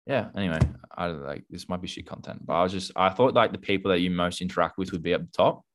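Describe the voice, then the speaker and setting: A young man with an Australian accent and a low-pitched voice chats as a podcast co-host. He muses out loud in an informal, slangy way. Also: fast talker, noisy background. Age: young adult. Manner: musing, slangy. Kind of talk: casual chat.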